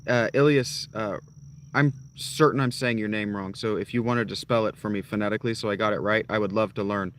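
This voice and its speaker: A man chats loosely with his live viewers, wandering from one tangent to the next. His voice sits a bit low, and he swears freely as he talks. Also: steady tone, fairly quick, fairly bright tone, slight background noise. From North Carolina. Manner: rambling, profane, talks straight to his chat. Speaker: live streamer. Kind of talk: casual chat with live viewers.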